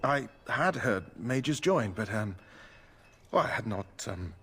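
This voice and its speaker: Husky, courteous knight recruit. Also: male voice, lowish voice, very animated, slow, a bit bright, exaggerated voice. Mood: polite, awkward. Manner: courteous, formal, hesitant. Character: polite, awkward knight recruit, uneasy around magic. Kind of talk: scripted fantasy game dialogue.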